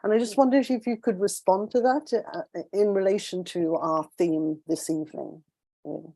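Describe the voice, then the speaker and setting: Mellow thoughtful woman on a panel. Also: mid-range voice, steady tone, moderate pace, vocal fry, no echo. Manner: thoughtful, searching, frequent restarts and rephrasing. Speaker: panelist asking questions. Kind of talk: panel discussion.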